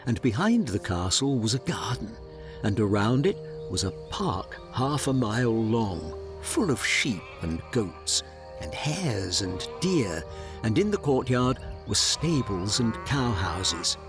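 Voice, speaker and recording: A man narrating a fairy tale in a measured, dramatic storybook style. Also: fairly low pitch, very animated, over music.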